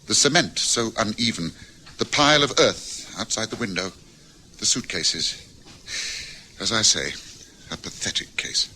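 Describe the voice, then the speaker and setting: A man playing an astute detective in a radio drama, speaking in a measured, reflective, precise manner. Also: fairly low pitch, lively intonation, background noise. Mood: reflective, regretful. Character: astute, composed male detective summing up a case. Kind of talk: old-time radio drama acting.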